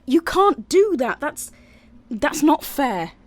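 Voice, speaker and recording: A brisk university chief in an audio drama. Her voice is rather high and very animated, rising and dropping widely as she speaks. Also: heavily acted. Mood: pleading, agitated. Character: brisk, dismissive woman university vice-chancellor. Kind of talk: scripted audio drama dialogue.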